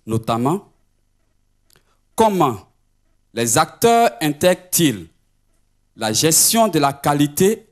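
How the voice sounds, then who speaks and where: A man hosting a seminar session, formally introducing the program in a measured, rhetorical way. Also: on the high side. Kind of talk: formal scripted introduction of speakers.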